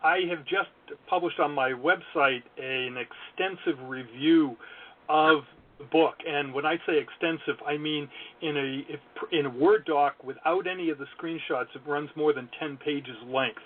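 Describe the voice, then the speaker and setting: A man's voice: on the high side, a little slow. A male consulting guest speaking earnestly and analytically in an interview, with wry self-deprecating asides. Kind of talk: podcast interview talk. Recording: tinny phone line.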